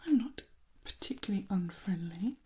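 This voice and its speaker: A woman playing a gentle, wary female vampire in an ASMR roleplay, mostly whispering in a breathy, hushed voice with pauses between short phrases. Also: medium pitch, animated. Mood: wary, quietly musing. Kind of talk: soft-spoken ASMR roleplay.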